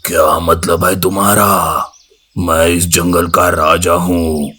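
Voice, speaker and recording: Man voicing a deep, slow, proud lion king. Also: level tone, bright, forceful tone, heavily acted. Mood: haughty. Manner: haughty, commanding, drawn-out delivery. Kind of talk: Hindi animated moral story dialogue.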